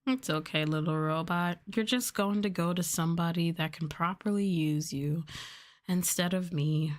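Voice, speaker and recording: Casting: woman, low-set voice, soft-spoken livestreamer doing casual ASMR chat. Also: normal speed. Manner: softly spoken, now and then trailing into whispers. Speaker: livestreamer, VTuber host.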